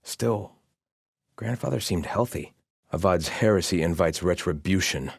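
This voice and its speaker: An actor playing a haughty, devout soldier of a sun-worshipping empire in a video game, with a formal, disdainful delivery. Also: male voice, low-pitched, a little slow, vocal fry, husky, dry recording, studio quality, full character voice. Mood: haughty, solemn. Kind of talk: scripted video game barks and lines.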